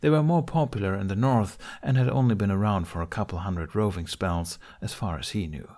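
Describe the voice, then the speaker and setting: A man reading a story as an audiobook narrator, in an expressive scripted style. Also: fairly low pitch, fairly quick.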